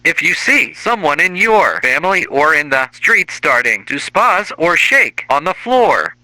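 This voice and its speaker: A man's voice: a little high, very animated, unhurried, pushed and bright, dry recording, poor audio. A man reading a scripted emergency alert as a broadcast announcer.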